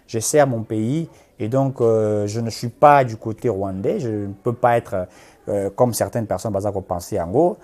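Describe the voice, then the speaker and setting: A man's voice: on the low side, moderate pace, darkish tone. A man with a Congolese accent speaking as a political activist in an interview, emphatic and impassioned, repeating points and switching between two languages.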